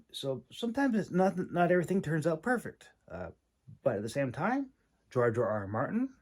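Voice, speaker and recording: A man giving an informal, rambling critique as a host, speaking haltingly with long pauses and repeated words. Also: medium pitch, a little mellow. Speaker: host, independent fantasy writer and audiobook reader giving critique.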